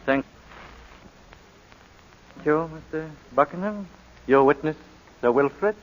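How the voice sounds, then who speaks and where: An actor playing a brisk, theatrical prosecuting barrister questioning a witness in a 1940s radio drama. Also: male voice, on the high side, animated. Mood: pressing, satisfied. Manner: pointed, prompting, theatrical. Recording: muffled old 1940s radio recording.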